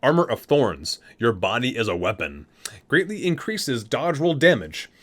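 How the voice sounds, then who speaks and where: A man's voice: medium pitch, very animated, normal speed. A man hosting an ASMR video, reading guidebook entries aloud and sometimes stumbling and correcting himself.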